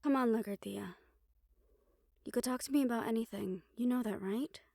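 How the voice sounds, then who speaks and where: A woman's voice: fairly high pitch, moderate pace, gravelly, warm tone, dry recording. A woman playing a caring, teasing siren-banshee in an intimate audio roleplay, speaking with playful affection. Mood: concerned, tender. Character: teasing, caring female siren-banshee, fond of her partner.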